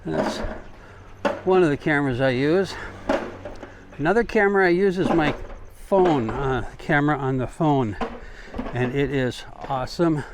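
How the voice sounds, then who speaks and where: A man's voice: on the high side, unhurried, long pauses. A man chatting casually in a rambling, folksy, joking way as a travel vlogger walking along. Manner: folksy, joking, informal mild swearing. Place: outdoors, walking along streets. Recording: outdoor street noise, passing vehicles.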